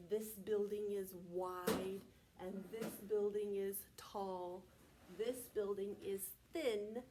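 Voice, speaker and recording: A woman preschool teacher reading aloud to young children in a deliberate, child-directed way, often asking prompting questions. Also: medium pitch, warm tone, a little hiss or hum. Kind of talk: read-aloud and sing-song circle time for young children.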